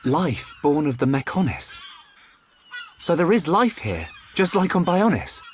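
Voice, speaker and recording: A man's voice: a little high, sing-song, a little slow, a little hiss or hum. A man voicing an earnest, worried young hero in a video game. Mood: concerned, wondering. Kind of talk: video game cutscene acting.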